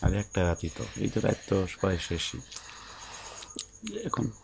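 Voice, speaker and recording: A man's voice: deep voice, a little slow, creaky, a little hiss or hum. A man playing a patient, coaxing young newlywed husband in an audio story, with a Bangladeshi accent and a soothing, colloquial way of explaining. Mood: patiently explaining.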